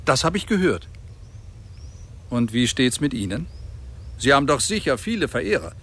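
Husky, lively-pitched kindly man. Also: moderate pace, heavily acted. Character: kindly, polite man, an investigator. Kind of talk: radio drama acting.

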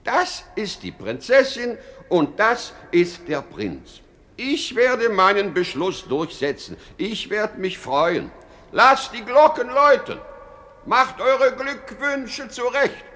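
Confused pompous king, radio play, high voice, slowish n halting, childish and fussy. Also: big pitch swings, bright, forceful tone, full character voice. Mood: pompously decisive. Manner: pompous, befuddled, halting pronouncements. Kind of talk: theatrical radio play acting.

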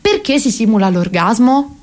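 A woman of about thirty with a Roman Italian accent performing a comic theatrical monologue in an expressive, confiding, colloquial way. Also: on the high side, moderate pace. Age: around 30. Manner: confiding, expressive, colloquial, asks rhetorical questions. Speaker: actress and monologuist.

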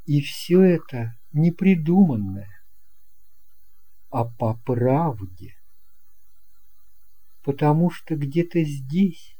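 A narrator reading a scripted children's story in measured, deliberate short phrases. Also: medium pitch, dark tone.

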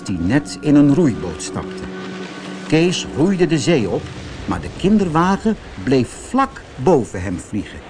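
Male audiobook narrator for kids' tales: expressive, highly varied intonation, light musical backing. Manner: expressive, dramatised reading. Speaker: storyteller. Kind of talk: scripted children's audiobook narration.

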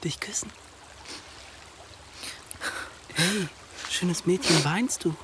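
Earnest, hushed young male lover. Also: high-pitched, a little slow, heavy background noise. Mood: tender, hushed. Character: secretive young pious lover, soothing and evasive. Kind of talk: German audio drama acting, intimate dialogue.

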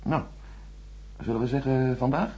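An actor playing a coolly official, condescending chief space engineer with a husky voice and a formal, patronising way of talking. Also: male voice, medium pitch, normal speed, darkish tone, lo-fi sound, natural voice. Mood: coolly dismissive. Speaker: radio drama actor. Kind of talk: radio play dialogue.

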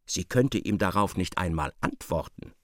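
A man acting as the sardonic narrator of a literary radio play, articulate and expressive. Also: low-pitched, normal speed, dry recording, clean studio sound. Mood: intent. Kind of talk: dramatised scripted narration.

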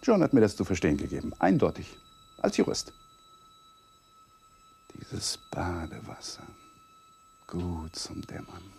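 An actor playing a troubled, polite married lawyer in a radio play, courteous and halting, often sinking into murmured brooding. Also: male voice, extremely high pitch, huge pitch swings, background noise, heavily acted. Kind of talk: 1980s German radio play acting.

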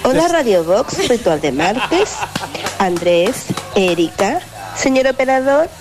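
A woman's voice: fairly high pitch, big pitch swings, takes it slowly. A Chilean woman with a Chilean accent, sending a friendly recorded greeting as a radio listener. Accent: Chilean Spanish. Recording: voice message with loud music behind.

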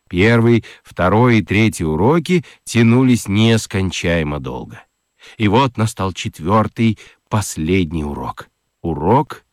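A man narrates a children's story in a theatrical, expressive way. His voice is on the low side, with lively intonation and a dry, close sound. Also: no echo.

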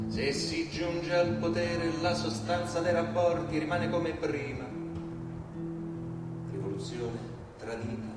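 A man reciting verse theatrically, half singing, as a stage performer. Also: a little high. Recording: echoey hall, distant mic, loud music. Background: plucked guitar and other instruments.

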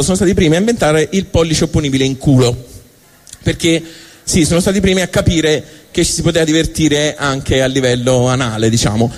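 A man from Tuscany with a Tuscan accent, performing a comic storytelling monologue in a halting, colloquial way, often interrupting himself. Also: on the high side. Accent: Tuscan Italian. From Tuscany, Italy. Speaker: stand-up monologist.

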